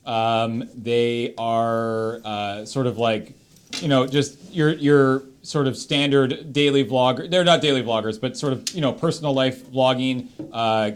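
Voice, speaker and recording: A man's voice: medium pitch, clear, resonant tone. A man with a Canadian accent, casually and enthusiastically giving recommendations as a panelist on a livestream.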